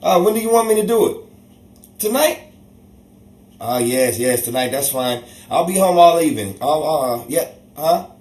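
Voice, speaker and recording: Man acting an exasperated 1950s husband, animated, roomy. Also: on the high side, moderate pace, natural voice. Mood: friendly, agreeable. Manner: sarcastic, emphatic, repeats words for effect. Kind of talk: 1950s radio sitcom acting. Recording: roomy, distant, noisy home recording.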